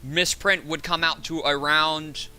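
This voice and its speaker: A man with a raspy, hoarse voice chatting casually on a game livestream, rambling and thinking aloud. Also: on the high side, steady tone, unhurried, bright, forceful tone. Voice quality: raspy, sore voice. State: hoarse from hours of talking. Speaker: game streamer, host. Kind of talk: casual livestream chat while gaming.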